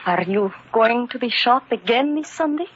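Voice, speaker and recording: Casting: actress as scolding, anxious wife, high lilting voice, careful formal phrasing, vintage radio serial. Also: female voice, animated, moderate pace, lightly acted. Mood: worried, reproachful. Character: worried, gently scolding wife of Greek immigrant shoemaker. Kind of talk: old-time radio drama acting. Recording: muffled, noisy old radio recording.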